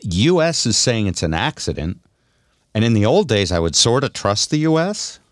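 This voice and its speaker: A man hosting a casual radio show, bantering in a wry, deadpan way. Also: on the low side, normal speed, no room sound, studio quality.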